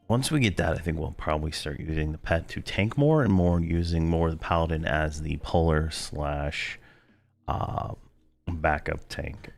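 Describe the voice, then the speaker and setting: Very deep-voiced hoarse man narrating gameplay. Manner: rambling, filler-heavy, laid-back. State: hoarse, losing his voice. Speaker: game video host. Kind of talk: casual gameplay commentary.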